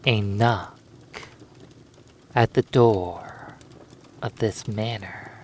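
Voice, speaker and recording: A man narrating a hypnosis roleplay in a soothing, lulling manner, leaving long pauses between phrases. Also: lowish voice, steady tone, darkish tone, slight background noise.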